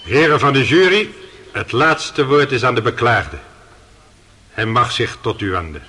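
Radio play judge: mid-pitched, forceful, crisp-edged formality, addressing the courtroom. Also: male voice, animated, unhurried, slight background noise. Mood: formal, commanding. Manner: formal, official, projecting. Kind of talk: Dutch radio play acting.

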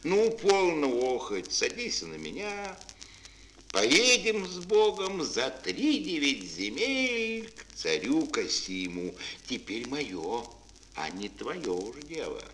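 Актёр играет мудрого и верного серого волка в детской сказке, говорит размеренно и наставительно. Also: male voice, on the high side, huge pitch swings, a bit bright, full character voice. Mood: gruffly fond. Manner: measured, admonishing. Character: wise, loyal male grey wolf, gruffly caring helper. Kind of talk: fairy-tale audio play acting. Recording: old mono record, faint noise.

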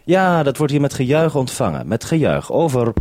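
A man hosting a radio link, speaking calmly and courteously in an orderly radio-call style. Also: mid-range voice, moderate pace, a little hiss or hum. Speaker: radio host on a two-way radio link. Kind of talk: radio call, saying "over".

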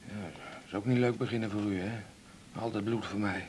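An actor playing an odd, rambling, prickly male patient in a 1980s radio drama, with a creaky voice and colloquial, fidgety delivery. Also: fairly low pitch, steady tone, fairly quick, a little mellow, slight background noise, close to an everyday voice. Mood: chatty, rambling.

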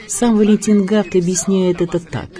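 A man narrating a scripted radio biography in a measured, expressive storytelling manner. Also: sits high. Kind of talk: scripted documentary narration with dramatic readings. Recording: music bed under voice. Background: music.

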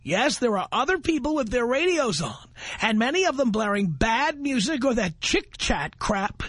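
A man's voice: very high voice, sing-song, normal speed, a bit bright, no echo. A man hosting a talk radio show, brash and sarcastic as he banters with callers. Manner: brash, sarcastic, mocking put-on voices.

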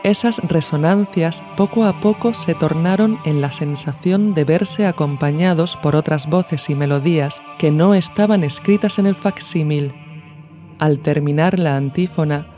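Mellow, higher-pitched male narrator from a podcast. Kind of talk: scripted narration, literary audio essay. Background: music.

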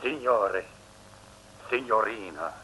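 An actor playing an excitable, sentimental Italian jailer with an Italian accent in an old comic radio play. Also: male voice, on the low side, takes it slowly, background noise. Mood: rapturous. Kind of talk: 1950s-60s comic radio play acting.

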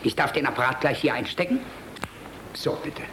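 A man's voice: medium pitch, normal speed, noisy background, close to an everyday voice. A man playing a deferential military orderly in a German radio play, speaking in a clipped, polite, formal way. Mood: apologetic, businesslike.

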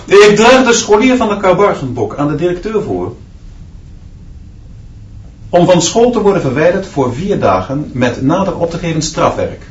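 A man's voice: a little high, sing-song, moderate pace, far from the mic. A man playing a strict, unbending schoolteacher in a radio drama, giving curt commands. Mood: stern, commanding. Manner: barking curt commands and punishments. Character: strict new male schoolteacher, hard and unbending. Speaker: actor in a radio play. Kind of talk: Dutch radio drama acting. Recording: dull, noisy, echoey classroom sound from off.

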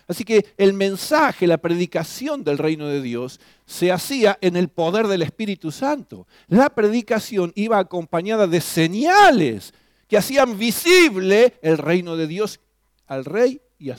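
A man's voice: high-pitched, no echo, clean studio sound. A man preaching a sermon, emphatic and full of rhetorical questions. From Argentina. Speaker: pastor.

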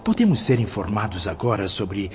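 An actor with a Brazilian accent playing an inquisitive, pressing spirit who seeks answers in a radio novel. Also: male voice, on the low side, big pitch swings, normal speed. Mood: insistent. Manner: pressing, questioning. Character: insistent, inquisitive male spirit seeking answers. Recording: dull lo-fi audio, faint noise.

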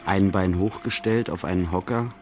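A man in a radio play, playing a detached observer who recites terse image descriptions in clipped, deliberate phrases. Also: sits low, music in the background, close to an everyday voice.

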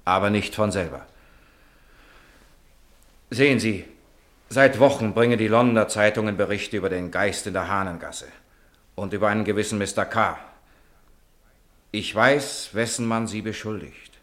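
Man acting courteous gentleman writer in old radio play, deep voice, earnest and eloquent. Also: natural voice. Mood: earnest, determined. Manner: urbane, eloquent, measured. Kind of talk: 1950s German radio drama acting.

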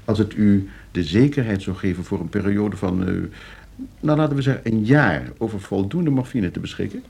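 An actor playing a coolly scheming, suave and condescending nephew in a Dutch radio play. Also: male voice, on the low side, moderate pace, creaky, a little mellow, slightly rough. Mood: probing, insinuating. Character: scheming, debt-ridden nephew coolly arranging his uncle's murder.

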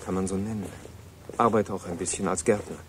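A man's voice: sits low, steady tone, brisk, slight background noise, natural voice. An actor playing a polite, guarded eighteen-year-old American boy in a radio play, speaking with reserved hesitation.

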